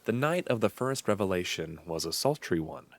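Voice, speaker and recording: A man's voice: low voice, big pitch swings, normal speed. An actor playing a brooding, obsessed young man who narrates his morbid secrets in solemn, archaic, literary language, in a gothic horror audio drama. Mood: brooding, hushed awe. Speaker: narrator and lead character.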